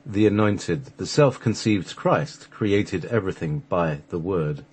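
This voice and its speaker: A man reading scripted radio play narration in measured, solemn phrases, with a husky voice. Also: low voice, a little mellow. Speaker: radio show host and narrator.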